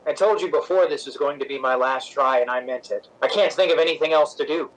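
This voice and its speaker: Man acting a high-pitched, weary, frank lover. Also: quick pace, close to an everyday voice, rough recording. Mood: weary, resigned. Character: weary, frank, loving boyfriend of a temperamental actress. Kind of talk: radio drama acting in 1950s style.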